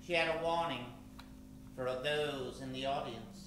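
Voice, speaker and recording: A man narrating an old time radio drama as host, theatrical and suspenseful with halting phrasing. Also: mid-range voice, fairly even intonation. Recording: very echoey hall, clear background noise.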